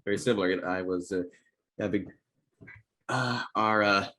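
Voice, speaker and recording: Male discussion host, slightly low pitch, informal, hesitant, word-searching delivery. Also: fairly even intonation, normal speed, bright, forceful tone. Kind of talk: casual chat.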